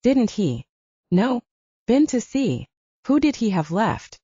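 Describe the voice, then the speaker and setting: A woman's voice: fairly high pitch, sing-song, takes it slowly, warm tone, husky, no room sound, muffled recording. A woman reading a scripted story as an audiobook narrator.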